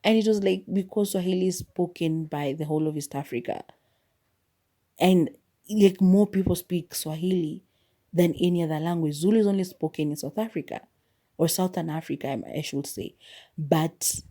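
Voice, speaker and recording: Opinionated woman, mellow low voice. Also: normal speed. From Kenya. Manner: opinionated, candid, mock-quotes people. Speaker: podcast host. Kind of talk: solo podcast monologue.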